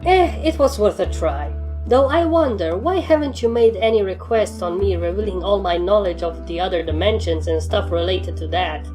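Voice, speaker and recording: A woman's voice: medium pitch, moderate pace, few pauses, warm tone, natural voice. A woman voicing a stern, demanding young pony trainer who speaks formally and firmly in a storybook reading. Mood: calm, dry. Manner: formal, firm, wordy. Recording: music under the voice.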